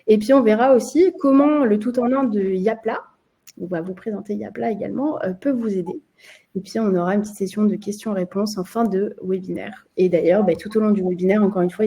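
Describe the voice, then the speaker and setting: A woman's voice: mid-range voice, warm tone. A woman from France with a French accent, hosting a live webinar in a chatty way with many hesitations. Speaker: marketing presenter hosting a webinar.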